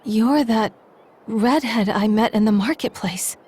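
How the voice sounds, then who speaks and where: An actress playing a gentle, easily startled motherly townswoman in a video game, with a breathy voice. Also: on the high side, unhurried. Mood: dazed, gentle. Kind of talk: video game cutscene acting.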